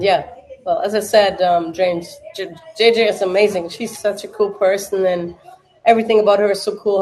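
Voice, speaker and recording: A woman's voice: mid-range voice, darkish tone, low-quality recording. Young German-accented woman, casual stream host, loose and chatty, lots of fillers. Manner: chatty, many fillers, answers chat. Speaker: livestream watch-party host. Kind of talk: casual livestream chat.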